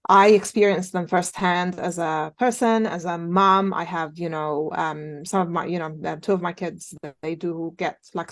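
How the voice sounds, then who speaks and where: A woman in her forties, a native Arabic speaker originally from Jordan, calmly answering interview questions with frequent pauses and fillers. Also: lowish voice, no echo. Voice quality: thoughtful, many "um" and "you know" fillers. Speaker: interview guest, occupational therapist. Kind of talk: conversational interview.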